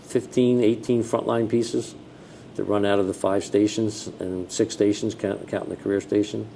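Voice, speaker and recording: A man's voice: normal speed, gravelly. A middle-aged American man, a fire chief, answering interview questions in a plain-spoken, matter-of-fact, conversational way. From New Jersey, United States. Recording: steady background noise with low rumble.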